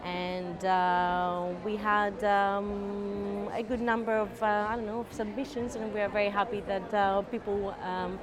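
A woman organizer speaking haltingly, with many fillers. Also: medium pitch, flat delivery. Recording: chattering crowd behind.

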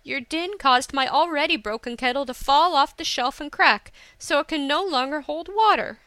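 A woman playing a feisty, high-voiced young tea-house woman. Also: expressive, moderate pace, no echo. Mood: indignant scolding. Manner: indignant, emphatic, sharp-tongued.

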